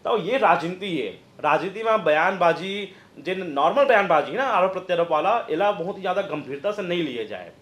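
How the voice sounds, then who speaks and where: A man in his thirties with a Chhattisgarhi accent, giving conversational political commentary in an explanatory, halting manner. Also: sits high, expressive, a bit bright, reverberant. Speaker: news presenter and political analyst. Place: indoor news studio, roomy sound. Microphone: lapel mic.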